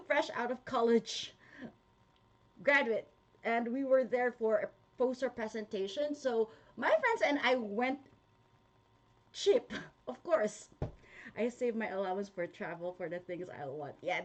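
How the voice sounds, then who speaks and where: A young woman with a Filipino accent, chatting casually to her viewers, with frequent laughs and fillers. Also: mid-range voice. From Philippines. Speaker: vlogger. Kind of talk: casual talk to viewers, reading comments.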